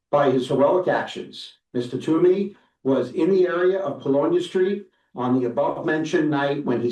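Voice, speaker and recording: A councilman reading a formal proclamation aloud at a council meeting, steady with small restarts. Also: on the high side. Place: council chamber. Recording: echoey room from far off.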